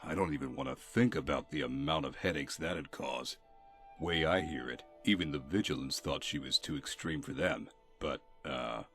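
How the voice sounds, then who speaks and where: A man's voice: a bass voice, moderate pace, fairly bright tone, faint music behind. A man acting a weary, stern city guardsman in a video game, dry and exasperated.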